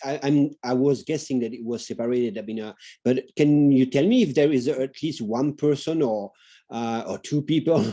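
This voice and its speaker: A man from Belgium speaks English with a French accent in a mellow, relaxed voice. He puts an earnest question to a panel over a video call, pausing to find the right words. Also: mid-range voice. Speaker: researcher, panel participant. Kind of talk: online roundtable discussion.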